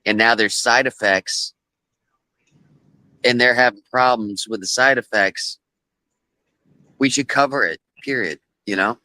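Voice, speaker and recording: A man's voice: medium pitch, unhurried, long pauses, bright, forceful tone, no room sound, poor audio. A man giving blunt, emphatic opinions in a casual conversation.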